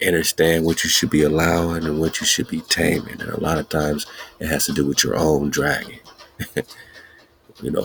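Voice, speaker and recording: A man's voice: extremely low pitch, creaky. A man hosting a podcast, giving an emphatic, chanting, preaching-style teaching monologue, laughing often. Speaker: podcast host.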